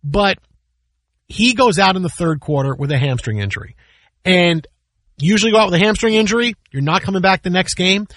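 A man's voice: high-pitched, animated, brisk, a bit bright, no room sound. A man hosting sports talk radio, giving casual, opinionated commentary in an emphatic way with repetition and rhetorical questions. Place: radio studio.

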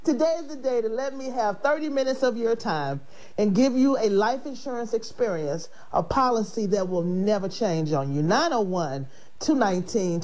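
A woman's voice: mid-range voice, low-quality recording. A woman hosting a radio show and selling life insurance. She talks in a chatty, grateful way with a nasal sound from her sinuses. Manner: warm, grateful, chatty, with laughs. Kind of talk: casual radio talk.

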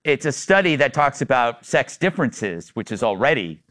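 A man's voice: mid-range voice, very animated, normal speed, fairly bright tone. A man hosting a panel talk show, joking with guests and laughing often. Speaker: host.

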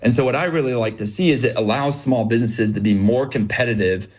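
A man's voice: mid-range voice, quick pace. A man as an interview guest, explaining his business in an upbeat, practical way. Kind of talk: interview answers.

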